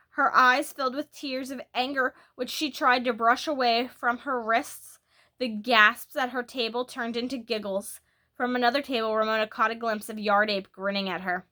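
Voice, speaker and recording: A woman narrates a children's story with a very bright, forward voice pitched on the high side. Her reading is expressive and animated, putting emphasis on key words as a storyteller would. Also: normal speed.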